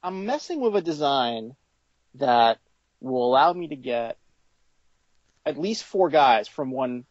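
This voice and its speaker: A man with a mid-pitched voice talks informally as an interviewed designer. He thinks aloud haltingly. The sound is dry and a little dull. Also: big pitch swings, no room sound. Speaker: toy designer being interviewed. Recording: slightly dull, lo-fi recording.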